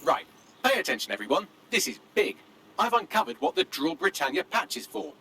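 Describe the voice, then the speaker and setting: A man playing a brisk, wry artificial intelligence assistant in a video game briefing. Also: high voice, sing-song, vocal fry, pushed and bright, slight background noise, exaggerated voice. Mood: urgent, wry.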